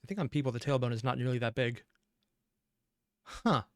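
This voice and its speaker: A man's voice: medium pitch, expressive, fairly quick, no echo, studio quality. A man hosting a reaction video, giving casual running commentary in a musing, questioning, wry way.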